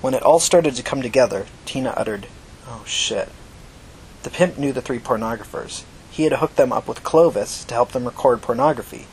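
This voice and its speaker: A man's voice: medium pitch, fairly quick, few pauses, a little mellow, a little hiss or hum, rough recording. A man narrating a scripted story in the manner of an audiobook, reading out prose and dialogue. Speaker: fiction podcast narrator.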